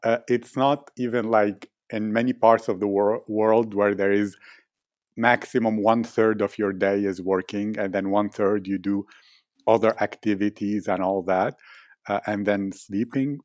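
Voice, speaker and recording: Man, low voice, dry close sound, rambling interviewer questions. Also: little pitch movement, a little slow, darkish tone, no room sound. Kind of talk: casual interview.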